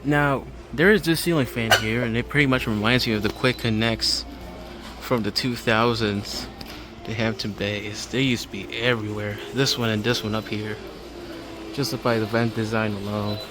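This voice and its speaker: A man's voice: fairly low pitch, steady tone, moderate pace. A man giving casual, matter-of-fact walk-through commentary as a hobbyist vlogger inside a large store. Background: background music in a store.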